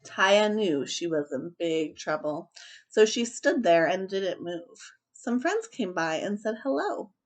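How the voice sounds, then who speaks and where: A woman hosting a children's story time, chatting casually and reading aloud in an expressive, emphatic way. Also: medium pitch, slow. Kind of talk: casual chat and animated storybook read-aloud.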